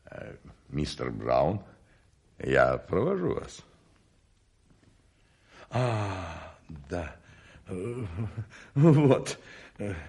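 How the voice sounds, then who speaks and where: A flustered, obsequious servant in a radio drama. His voice is fairly low and slow, full of hesitations, with hugely varied intonation. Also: creaky, darkish tone, full character voice. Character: deferential, flustered club servant. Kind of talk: 1980s radio play acting.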